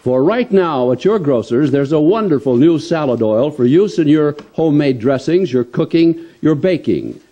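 A man's voice: on the high side, animated, moderate pace, hardly any pauses, mellow, highs cut off. An older man reading scripted announcements and sponsor copy in the style of an old-time radio announcer. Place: live stage in a convention room. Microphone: stand microphone on stage.

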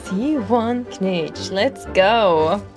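Excitable young woman streaming over music. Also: mid-range voice, extremely slow. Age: young adult. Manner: excitable, playful. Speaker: video game streamer. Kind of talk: casual gaming stream commentary. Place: small indoor home room. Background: game music.

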